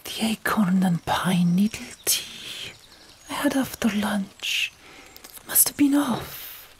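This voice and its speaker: Lady reading as a young viking woman, wry and a bit queasy, slow whispery storybook voice. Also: mid-range voice, expressive, husky, heavily acted. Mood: queasy, evasive. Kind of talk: whispered audiobook character.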